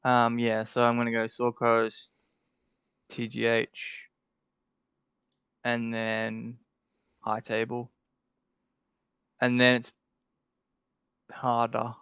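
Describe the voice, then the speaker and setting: Guy on a podcast thinking out loud, lots of ums, smooth but gravelly voice, dry close sound. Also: mid-range voice, monotone, drawn-out words, pauses often, no echo. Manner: thinking aloud, hesitant, lots of fillers and restarts. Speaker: podcast co-host ranking game alliances. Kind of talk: casual podcast chat.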